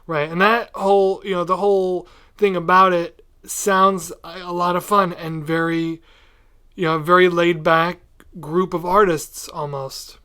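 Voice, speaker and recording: A man's voice: sits high, level tone, measured pace, sharp tone, clean tone. A man hosting a podcast in a casual, chatty interview style. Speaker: podcast host.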